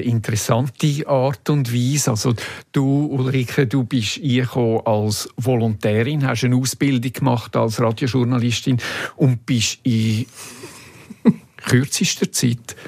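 A man's voice: medium pitch, no room sound, clean studio sound. A man with a Swiss German accent, interviewing in a conversational style as host.

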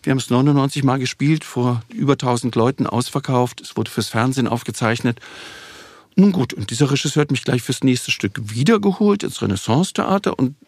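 A man in his sixties tells stories as a podcast guest in a lively, self-mocking way. His voice is mid-pitched and slightly husky. The sound is close and dry, with no room echo. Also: a little fast. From Berlin, Germany. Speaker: guest actor. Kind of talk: relaxed storytelling in a podcast interview.

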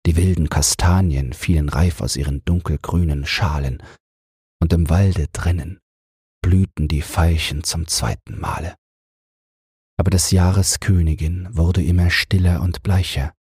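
A man narrating a fairy tale as a soothing bedtime audiobook, in a calm and measured way. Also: low voice, husky, no room sound, studio quality.

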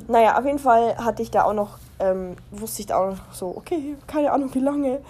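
A woman's voice: fairly high pitch, normal speed, warm tone, husky. A young adult woman from Germany talking casually as a podcast host, chatty and giggly, rambling and interrupting herself as she goes. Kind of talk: casual personal podcast monologue.

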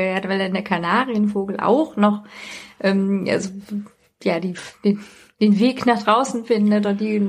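A woman with a mid-pitched voice that has a husky edge. She speaks as a podcast co-host, retelling stories in an informal, conversational style. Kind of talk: casual chat, retelling stories.

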